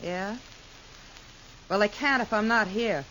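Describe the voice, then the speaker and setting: An actress playing a defiant, indignant frontier wife in a 1950s radio western. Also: female voice, mid-range voice, slow, natural voice. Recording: 1950s radio recording with faint hiss.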